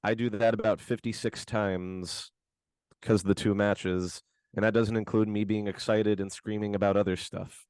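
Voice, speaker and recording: A man co-hosting a podcast, his voice hoarse from screaming, chatting in a laid-back, slangy, rambling way from his bedroom at home. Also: lowish voice, little pitch movement, normal speed, a little mellow, no room sound, rough recording. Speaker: podcast co-host. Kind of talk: casual podcast chat.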